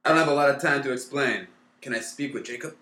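A man's voice: mid-range voice, fast, bright, forceful tone, lightly acted. Frantic, theatrical man, played by an actor, echoey. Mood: urgent. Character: frantic, theatrical man, given to florid lamenting. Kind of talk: old-time radio play acting. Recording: roomy, echoing recording.

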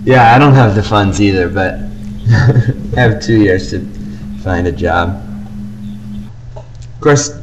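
A man's voice: on the low side, slow, pauses often, lo-fi sound, background noise. A young man chatting casually as co-host of a fan podcast, on an amateur home recording.

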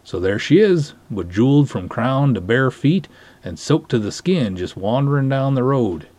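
Man playing pompous, lovestruck lake cop, husky. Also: medium pitch, sing-song, darkish tone, no room sound. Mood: enchanted. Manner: pompous, florid, deliberate storytelling. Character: verbose, lovestruck, dignified male lake patrol cop. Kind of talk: dramatic short-story reading.

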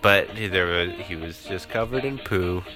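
A man's voice: deep voice, moderate pace, over music. A man co-hosting a casual podcast chat, sniffly with a cold, rambling and self-deprecating as he trails off. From Canada. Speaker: podcast co-host. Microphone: studio microphone.

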